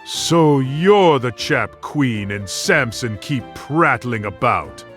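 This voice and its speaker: An actor playing a gaunt male toxicologist in an audiobook drama, with a booming, bluff voice. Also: animated, slow, a bit bright, faint music behind. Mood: bluff, cordial.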